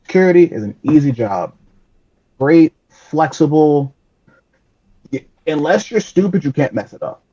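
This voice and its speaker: A man's voice: a little high, expressive, measured pace, pauses often, mellow, husky, muffled recording. A man in his late twenties, a podcast guest chatting casually, joking and self-deprecating with frequent laughs. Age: 20s. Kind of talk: casual interview chat.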